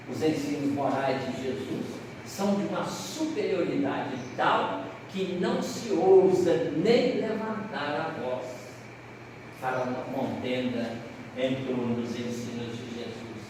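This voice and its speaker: A high-voiced Brazilian man leads a seminar as an animated storyteller, stretching out words and stopping to fix his own slips. He sounds set back from the mic in a long, echoing hall with a faint hiss. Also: distant mic. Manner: animated storyteller, pausing on words and correcting himself aloud. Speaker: lecturer at a Spiritist seminar. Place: lecture hall.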